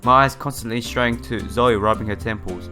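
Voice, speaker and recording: A man's voice: medium pitch, steady tone, normal speed, music in the background. A man reading a visual novel aloud in a theatrical way, breaking off into casual asides and exclamations. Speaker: let's player.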